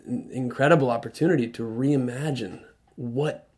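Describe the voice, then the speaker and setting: Radio guest, male, earnest reflective talker who stops and restarts, mid-pitched voice. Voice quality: loud voice. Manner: earnest, halting with many restarts. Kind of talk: reflective conversational talk. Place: radio studio.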